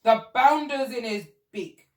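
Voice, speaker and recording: A woman's voice: fairly high pitch, measured pace, lightly acted. A woman reading aloud and voicing a pompous, hot-tempered old aristocratic Duke who bellows his threats and orders. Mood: commanding. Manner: bellowing, blustering. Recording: roomy echo, distant from the mic.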